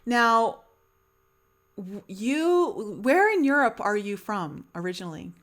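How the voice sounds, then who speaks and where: A woman's voice: medium pitch, very animated, takes it slowly, a bit bright. A woman hosting a podcast, chatting casually with a guest and rambling with many fillers and restarts. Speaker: podcast host. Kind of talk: casual interview chat.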